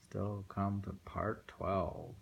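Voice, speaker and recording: A man reading poems aloud informally and commenting on them in a halting, musing way. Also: deep voice, level tone, creaky, highs cut off, slight background noise. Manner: halting, musing, with pauses and self-questioning. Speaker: reader and host discussing poems.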